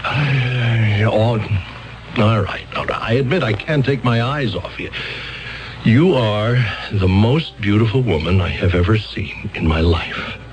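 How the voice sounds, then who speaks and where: An actor playing a flustered defense attorney who stammers and sounds excitable and incredulous in a 1970s radio drama. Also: male voice, fairly low pitch, a little slow, natural voice, rough recording.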